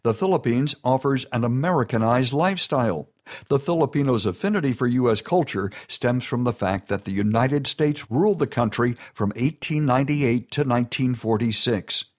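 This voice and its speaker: A man giving a steady, informative scripted narration. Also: mid-range voice, moderate pace.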